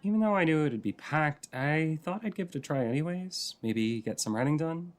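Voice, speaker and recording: A man playing a shy, sweet writer in an intimate audio roleplay, chatting in a hesitant, rambling, softly flirty way. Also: a little high, expressive, brisk, natural voice. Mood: shy, friendly. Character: shy, rambly, sweet regular writing in a coffee shop.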